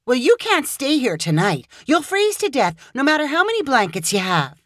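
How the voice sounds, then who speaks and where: A high-voiced young woman, outspoken, caring and a little bossy, as a woman reader puts her on. Her voice swoops with emphasis and stays bright. The room is dry and close. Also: big pitch swings, normal speed, no echo. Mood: insistent.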